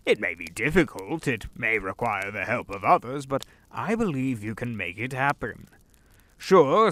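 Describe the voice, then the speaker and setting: A man's voice: mid-range voice, fairly bright tone, no echo. An actor playing the Devil disguised as a genial older businessman, with pompous, theatrical bluster in a fan audio drama.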